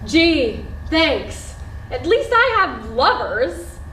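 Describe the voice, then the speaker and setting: A woman's voice: high-pitched, sing-song, drawn-out words, fairly bright tone, full character voice. A woman playing a bold, sardonic, man-hungry character in a live stage play, with a teasing, brash manner. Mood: defiant, brash. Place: indoor theater stage. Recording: distant echoey theater with faint noise.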